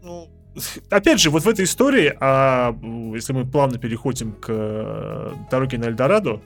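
Man chatting casually, halting, over music. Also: mid-range voice, animated, vocal fry. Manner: halting, stammering, repeats words as thoughts form; irreverent, coarse slang. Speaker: podcast co-host. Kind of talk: casual joking film discussion with friends.

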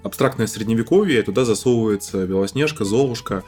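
A man co-hosting a podcast, discussing films casually and haltingly, often repeating the starts of words. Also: lowish voice, a little mellow, husky. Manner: hesitant, stammering word repetitions. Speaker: podcast co-host. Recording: music bed behind the voice.

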